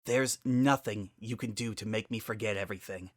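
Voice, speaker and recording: A man voicing a furious, bitter female pegasus pony, accusing her sister in forceful outbursts, in a dramatic story reading. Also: lowish voice, moderate pace, no room sound, clean studio sound, lightly acted. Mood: angry accusing.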